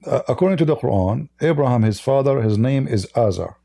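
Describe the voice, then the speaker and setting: A man's voice: medium pitch, expressive, brisk, creaky, mellow, no room sound. A man whose first language is Arabic, hosting a live call-in debate in a combative, mocking way. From Middle East. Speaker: host.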